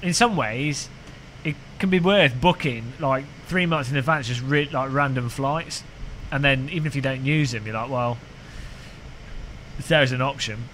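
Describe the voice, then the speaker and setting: Bright-voiced sim racing streamer. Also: male voice, medium pitch, moderate pace, slight background noise, rough recording. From United Kingdom. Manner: rambling, joking, frequent restarts. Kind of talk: casual live stream chat, reading chat messages.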